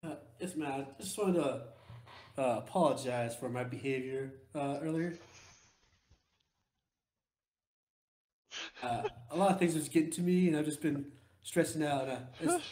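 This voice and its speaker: A man's voice: a little high, a little mellow, close to an everyday voice. Stressed hesitant crew woman voiced by a guy, apologetic, tabletop rpg, echoey room. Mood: apologetic, nervous. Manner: halting, hesitant. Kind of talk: in-character tabletop roleplay.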